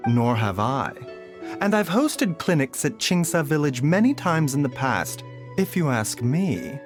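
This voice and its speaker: A man voicing a calm, soft-spoken male doctor who sounds weary, in video game dialogue. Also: on the high side, unhurried. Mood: measured. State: weary, coughing. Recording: game audio with faint music.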